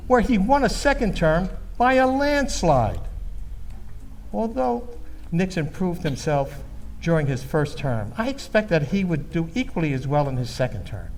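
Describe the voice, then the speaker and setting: An actor playing an earnest, patriotic baby-boomer storyteller in an emphatic, solemn stage monologue. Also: male voice, slight background noise.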